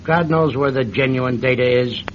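An actor playing a stern, suspicious intelligence chief in a radio drama, forceful and clipped. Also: male voice, medium pitch, normal speed, a little hiss or hum, close to an everyday voice. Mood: alarmed. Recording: dull, lo-fi radio drama recording.

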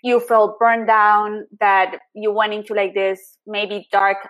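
A woman's voice: mid-range voice, flat delivery, slow, a bit bright, muffled recording. A woman hosting a casual podcast interview, friendly and encouraging, laughing often. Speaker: podcast host.

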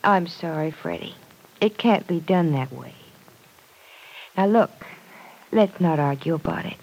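Young woman, patient but stubborn, husky edge, expressive intonation, old radio drama. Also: fairly low pitch, unhurried, heavily acted, low-quality recording. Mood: exasperated. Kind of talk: old-time radio serial acting. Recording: vintage radio drama recording.

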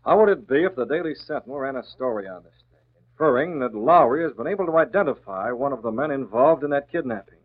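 A man's voice: medium pitch, expressive, quick pace, lightly acted. Composed newspaper boss played by a man, tinny sound. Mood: measured, calculating. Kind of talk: 1940s radio acting. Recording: tinny vintage radio, phone-like.

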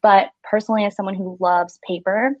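A woman's voice: mid-range voice, fairly even intonation, a little fast, dry recording. Thirties woman from Singapore, friendly filler-heavy chat, dry slightly dull line. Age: 30s. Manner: friendly, uses many fillers like "like" and "um". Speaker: stationery shop owner, guest. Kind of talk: casual interview chat.

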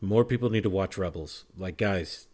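Emphatic low-voiced man chatting as a fan. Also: moderate pace, darkish tone. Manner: emphatic, fervent, urging listeners. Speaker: podcast panelist. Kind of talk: casual fan discussion.

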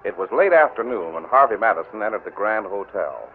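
A man announcing and narrating a vintage western radio drama in a booming, declamatory style. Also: animated, brisk, soft background music. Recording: tinny old radio broadcast.

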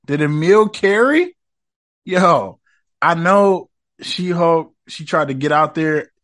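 A man hosting a casual podcast review, talking in a loose, slangy, profane and rambling way, his voice a bit rough from smoking. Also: a little high, animated, takes it slowly, a bit bright. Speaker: podcast host.

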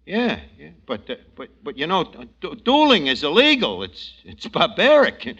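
An actor playing a brash, wisecracking, cowardly schemer with slangy bluster in a 1940s radio comedy. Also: male voice, a little high, huge pitch swings, a bit bright, highs cut off, exaggerated voice. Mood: nervous stalling. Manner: slangy, blustering, fast-quipping. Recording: noisy old radio recording with clicks.

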